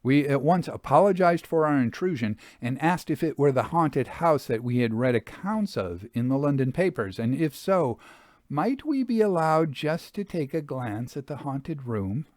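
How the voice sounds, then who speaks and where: A man reading a Victorian account aloud as a storyteller, in a formal, measured literary manner. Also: a little high, sing-song, a little mellow, dry recording, clean studio sound. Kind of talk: expressive scripted narration of a Victorian travel account.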